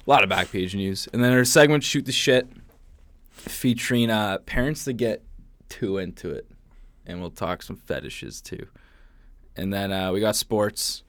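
A man's voice: lowish voice, a little slow, a bit bright, dry recording. A man hosting a podcast in casual, joking and profane chat. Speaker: podcast host.

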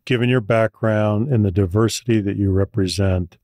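A male podcast host with a lowish voice conducts the interview. He listens closely and affirms what his guest says. He asks gentle follow-up questions, and his voice is recorded dry and close. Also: steady tone, normal speed, no room sound. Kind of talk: conversational interview.

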